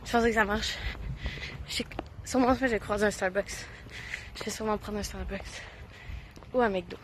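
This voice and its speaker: A woman's voice: mid-range voice, fairly quick, slight background noise. Chatty Quebec woman vlogging outdoors. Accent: Quebec French. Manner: chatty, excitable, breathless when hurrying. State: slight cold, sore throat. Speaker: hide-and-seek player vlogging. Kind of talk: casual self-filmed vlog narration. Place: outdoors on busy city streets.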